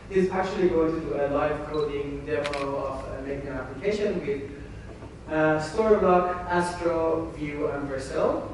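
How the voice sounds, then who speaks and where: A man hosting a tech meetup, introducing the first speaker in a chatty, informal way with some "uh" fillers. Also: on the high side. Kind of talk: event introduction. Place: large room at a company meetup. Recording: echoey hall, mic far off, audible background noise.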